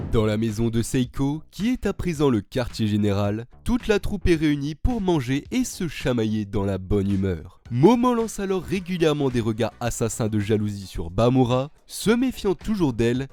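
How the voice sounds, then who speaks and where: A man's voice: mid-range voice, sing-song, moderate pace, hardly any pauses, warm tone, soft background music. A man presenting a video with scripted narration.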